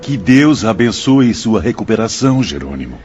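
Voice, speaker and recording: A man's voice: fairly low pitch, sing-song, moderate pace. Earnest devout male companion, radio drama, slightly dull sound with faint music. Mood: blessing.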